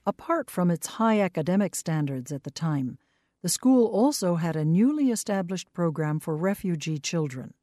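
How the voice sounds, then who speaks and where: A woman reading scripted documentary narration in a measured, even way. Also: fairly low pitch, no echo, studio quality.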